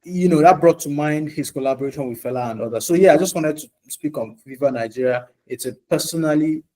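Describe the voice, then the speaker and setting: A man's voice: fairly high pitch, quick pace. A man co-hosting a podcast, speaking reflectively and personally about music. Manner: reflective, personal, soft-spoken. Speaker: podcast co-host. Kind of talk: casual podcast discussion.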